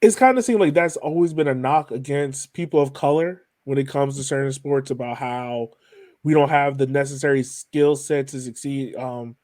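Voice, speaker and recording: A man's voice: medium pitch, normal speed, darkish tone. A man with an American accent hosting a podcast, asking questions in a casual, conversational style. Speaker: podcast host, interviewer. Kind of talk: casual interview chat.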